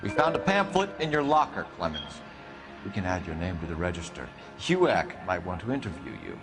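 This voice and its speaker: A stern police detective from a 1940s crime game. His lowish voice moves animatedly through clipped, accusing questions. Music plays clearly underneath. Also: very animated, moderate pace. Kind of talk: dramatic video game acting.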